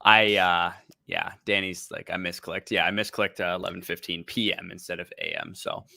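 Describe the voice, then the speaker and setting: A young man with a low, gravelly voice and a bright, forceful edge. He co-hosts a fantasy football livestream with an easygoing, joking manner. The sound is dry and close. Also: lively intonation, moderate pace, no room sound. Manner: laid-back, joking, with slang. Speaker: co-host. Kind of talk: casual livestream chat and fantasy football advice.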